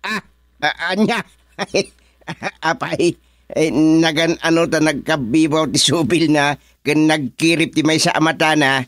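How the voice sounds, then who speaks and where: An actor playing a hesitant, easily amused village man with an Ilocano accent, talking haltingly in a radio comedy. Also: fairly high pitch, fairly bright tone, no echo. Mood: curious, amused. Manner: halting, full of interjections. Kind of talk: comedic radio drama acting.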